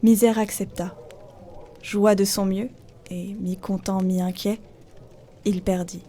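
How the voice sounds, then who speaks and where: A woman's voice: medium pitch, level tone, takes it slowly, warm tone, a little hiss or hum. A woman narrating a folk tale as a scripted audio story. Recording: faint music behind. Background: faint music.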